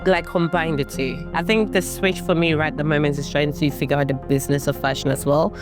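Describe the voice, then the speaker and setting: Woman, 30s, from Ghana, interview guest, reflective, pitch leaps up now and then, soft music behind. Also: steady tone, a little fast. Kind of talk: casual interview.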